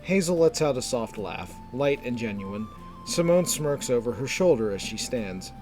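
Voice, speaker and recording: Guy narrating a fantasy tale, measured and descriptive, middle pitch, light music behind. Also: lively intonation, a little mellow. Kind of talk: scripted fantasy story narration.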